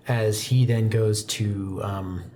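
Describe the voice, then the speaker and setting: A man working as game master, narrating a tabletop roleplaying game in a dramatic, halting way with informal asides. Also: on the low side, steady tone, warm tone, a little hiss or hum.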